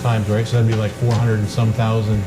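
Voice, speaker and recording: A man's voice: lowish voice, monotone, fairly quick, over loud music, low-quality recording. A man asking informal questions at a meeting as a council member, tentative and working things out aloud. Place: indoor meeting room.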